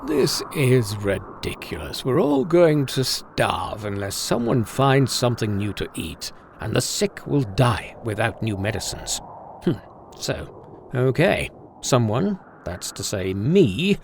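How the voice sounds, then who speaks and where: A man playing a bold, comical hero of ancient legend who tastes herbs, with a gravelly voice and theatrical delivery. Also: medium pitch, very animated, takes it slowly, slight background noise, exaggerated voice. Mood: exasperated, resolute. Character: Shen Nong, bold, comical ancient herb-tasting hero.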